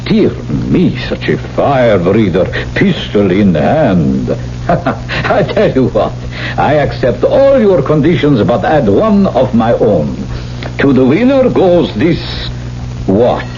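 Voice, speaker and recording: Villain for radio play: suave aristocratic Count, unhurried, mellow, mockingly polite, on a dull old recording. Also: male voice, mid-range voice, huge pitch swings, soft background music. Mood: amused, mocking. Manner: urbane, mockingly polite, savouring words. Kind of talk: 1970s radio drama acting.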